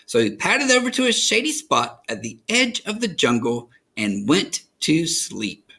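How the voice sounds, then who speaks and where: Animated American man reading a children's story. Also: on the high side, unhurried, vocal fry, breathy edge. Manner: expressive, dramatic storytelling. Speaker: children's story reader, host.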